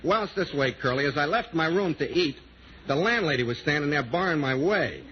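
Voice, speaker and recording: A man acting in a 1940s radio sitcom as a shameless, mock-wounded freeloading pal, brazen and deadpan in his wisecracks. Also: fairly high pitch, a little fast, close to an everyday voice. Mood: mock pitiful. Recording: muffled, very noisy 1940s radio recording.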